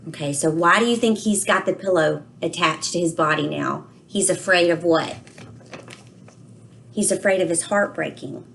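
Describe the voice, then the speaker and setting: A woman reading aloud and teaching children, explaining expressively and pausing to ask questions. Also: fairly low pitch, creaky, a bit bright, slight background noise. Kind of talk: read-aloud lesson with questions to young listeners.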